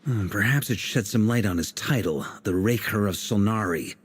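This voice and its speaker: An actor voicing a charming, sly adult male knight in a video game, speaking in a smooth, playful, persuasive way. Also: lowish voice, normal speed, a little hiss or hum, natural voice. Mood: scheming.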